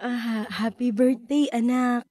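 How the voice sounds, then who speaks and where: A woman acting an anguished, guilt-ridden mother in a Filipino radio drama, with a Filipino accent and halting, repetitive delivery. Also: a little high, steady tone, dark tone, dry recording, clean studio sound. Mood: hesitant, remorseful. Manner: halting, repeating words, tearful.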